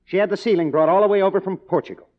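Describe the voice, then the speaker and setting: A man's voice: sits high, very fast, natural voice. An actor playing a cynical, proud American kept man, a struggling writer, in sardonic, clipped 1950s radio noir style. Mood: bitterly sardonic. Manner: sardonic, hard-boiled, clipped. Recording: dull vintage radio drama recording.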